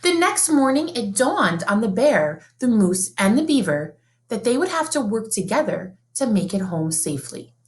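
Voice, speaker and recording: A woman reading a children's picture book aloud in an expressive, sing-song storybook manner, like a teacher. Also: fairly high pitch, normal speed.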